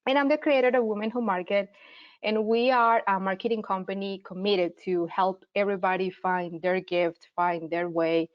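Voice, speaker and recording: Colombian-accented woman in her 30s, friendly workshop teacher, mid-pitched voice, slightly dull web-call sound. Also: steady tone, moderate pace. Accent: Colombian, from Medellín. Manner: friendly, explanatory, with frequent fillers and restarts. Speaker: marketing teacher and host. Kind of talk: live online workshop presentation.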